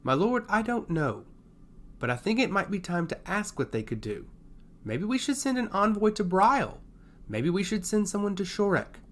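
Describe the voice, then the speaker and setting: A man voicing a stoic woman advisor, composed, deferential and gently persuasive, in a fantasy audio story. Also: high voice, sing-song, moderate pace, voice pushed up. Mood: calm suggestion. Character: calm, stoic female advisor to a lord.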